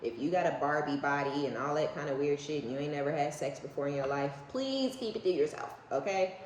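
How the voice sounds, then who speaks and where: A woman with a low voice and an American accent hosts an informal tarot reading. She is blunt and sassy, leans on slang and swearing, and tags her points with little questions. The room is echoey, with faint noise behind her. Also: lively intonation, a little fast, a little mellow. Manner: often seeks agreement with short tag questions. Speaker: tarot reader, online host. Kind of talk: casual, unscripted tarot reading addressed to viewers.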